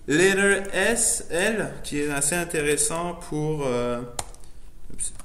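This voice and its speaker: A man, a non-native French speaker, giving a loose, chatty software demo full of fillers and restarts. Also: fairly high pitch, animated, slow pace. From immigrant to Canada. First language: not French. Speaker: presenter showing his editor setup. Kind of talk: casual live software demo. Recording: echoey room, faint noise, keyboard typing.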